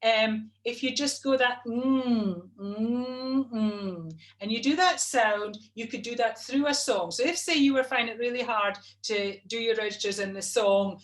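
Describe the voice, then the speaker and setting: Middle-aged woman vocal coach, talks through exercises on a webcam call, a bit high-pitched. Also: unhurried. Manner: chatty and instructive, demonstrates hums. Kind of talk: explaining voice technique. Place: home room, video call. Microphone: video call webcam.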